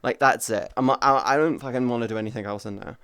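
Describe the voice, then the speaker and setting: A man's voice: medium pitch, fast talker, fairly bright tone, smooth, no echo, clean studio sound. A man in his thirties streaming, rambling in casual chat with his viewers, swearing freely and greeting chatters by name. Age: 30s.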